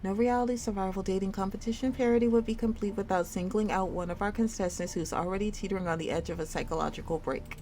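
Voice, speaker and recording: A woman's voice: mid-range voice, steady tone, fast talker, hardly any pauses, mellow, a little hiss or hum. A woman reading a game story aloud in a theatrical storytime style, shifting her voice between characters. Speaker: streamer reading a visual novel game aloud.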